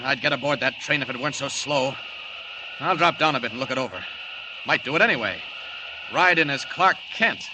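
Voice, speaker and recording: An actor playing a resolute flying superhero thinking aloud in old radio drama, in clipped, determined phrases. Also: male voice, medium pitch, lively intonation, lightly acted. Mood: alert, resolute. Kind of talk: 1940s radio drama acting. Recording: loud rushing noise, old radio recording.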